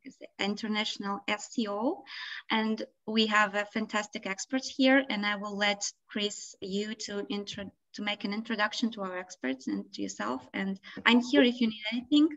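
A woman's voice: mid-range voice, normal speed, fairly bright tone. A young woman moderating an online event over a video call, friendly and hesitant with many fillers. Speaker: webinar moderator. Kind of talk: casual hosting. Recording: dull lo-fi call audio.

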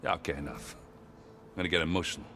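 An actor playing a gruff, good-hearted, self-deprecating soldier in a video game, talking in a hesitant, joking but sincere blokey way. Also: male voice, sits low, steady tone, fast, pushed and bright, a little hiss or hum, exaggerated voice. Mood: jovial. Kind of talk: video game dialogue acting. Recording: prominent music behind the voice.

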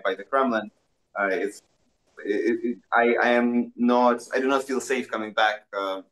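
A man's voice: medium pitch, fairly bright tone, poor audio. A young man from Russia on a video call from a small indoor room, answering questions earnestly with frequent restarts and fillers. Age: young adult. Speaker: interviewed activist. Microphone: laptop webcam on a video call.

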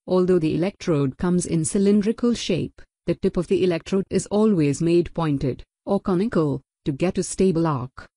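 Warm-toned woman reading a lesson. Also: fairly low pitch, no echo, studio quality. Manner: even, measured reading of a slide list. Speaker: lecturer. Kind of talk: scripted technical narration.

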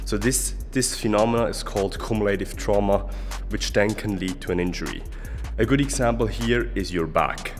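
A young man, a German-speaking fitness coach from Switzerland, earnestly explaining gym tips to a handheld camera at arm's length in a large indoor gym. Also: fairly low pitch. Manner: earnest, explanatory, adds okay often. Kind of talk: casual vlog advice. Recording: echoey gym hall with music.

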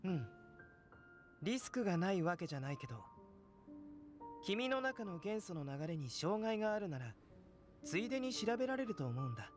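A man playing a calm, courteous young alchemist in an anime game, speaking in a measured, gentle, analytical way. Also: high-pitched. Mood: calm, explanatory. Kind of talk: scripted anime game dialogue. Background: game music.

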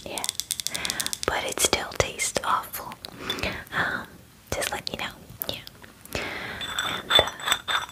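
A woman in her twenties voicing a gentle, attentive werewolf spa groomer in a soothing ASMR roleplay, whispering breathily and softly repeating words with hesitant pauses. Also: close to an everyday voice, low-quality recording. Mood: soothing, helpful. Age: 20s. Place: small dim indoor room. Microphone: close microphone, facing camera.

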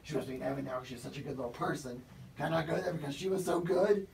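A man's voice: medium pitch, steady tone, rapid-fire, gravelly, darkish tone, roomy sound, close to an everyday voice. An actor on a church stage playing a young man who pleads and protests against his judgment. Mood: indignant. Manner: pleading, indignant. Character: defiant young man facing damnation. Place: church stage set, indoors. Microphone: distant camera mic. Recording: noisy, distant stage voice.

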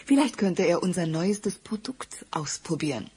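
A woman's voice: fairly low pitch, expressive, measured pace, darkish tone. A woman playing a cool, scheming, seductive poisoner in a 1980s radio play, sultry and calculating.

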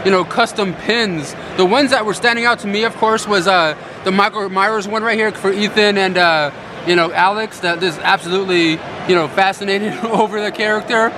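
A man with a high voice chats eagerly to his camera as he walks a crowded convention floor. He fills his talk with casual fillers. The hall noise sits clearly behind him. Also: moderate pace. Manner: excited, chatty, lots of "like" and "you know". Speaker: vlogger. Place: large convention hall, indoors.

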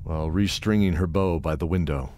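A man narrating a scripted fantasy audio drama in a measured, descriptive way. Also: deep voice, a little mellow, a little hiss or hum. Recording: music under the voice.

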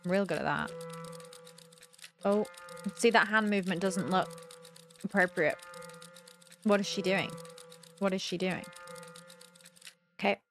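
Young woman, medium pitch, casual game commentary, puzzled thinking aloud, close mic, faint background music. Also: unhurried, long pauses, fairly bright tone. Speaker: gaming video host. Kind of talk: casual let's play commentary. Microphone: close desk mic with headset on.

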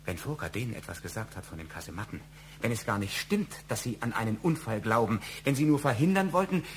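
A man's voice: on the low side, animated, fast talker, slight background noise, natural voice. A male actor playing a brooding, suspicious husband with wounded pride in a German radio crime drama, intense and probing. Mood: suspicious, agitated.

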